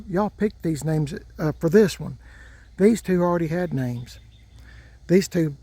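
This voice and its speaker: Man in his 60s, folksy and drawling, mellow tone, slightly raised pitch, casual outdoor vlog. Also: dry recording. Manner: folksy, drawling, repeats himself. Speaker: truck channel host. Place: outdoors by parked trucks.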